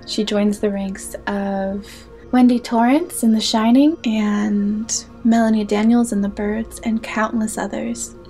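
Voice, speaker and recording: A young woman hosting a film analysis video, chatty with playful asides, slightly hoarse from losing her voice. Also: medium pitch, darkish tone. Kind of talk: film analysis talk to camera.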